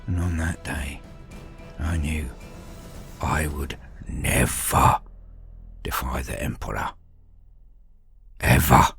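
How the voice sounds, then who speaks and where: Man, very low voice, storyteller host, grave, measured, dramatic pauses. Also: vocal fry, a bit bright, soft background music. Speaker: narrator and channel host.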